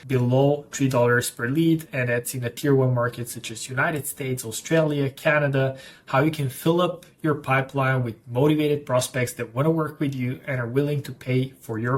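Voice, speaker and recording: A young man from Bulgaria delivers a rapid, energetic pitch straight to camera. His voice sits at an ordinary middle pitch, and he keeps up a brisk sales patter as a business coach. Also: a little hiss or hum.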